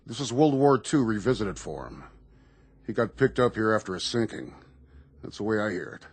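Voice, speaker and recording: A dutiful naval lieutenant with a lowish, husky voice. He is matter-of-fact and respectful, in radio play style. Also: big pitch swings, normal speed, dry recording, heavily acted. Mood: uneasy. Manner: clipped, deferential, matter-of-fact. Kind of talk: radio drama acting.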